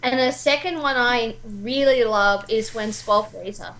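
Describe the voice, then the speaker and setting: A woman with a high voice chats informally as a guest. She is opinionated and puts firm emphasis on her points, in the manner of a devoted fan. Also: unhurried, a bit bright, low-quality recording. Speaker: guest voice actor. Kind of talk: casual chat, opinionated fan discussion.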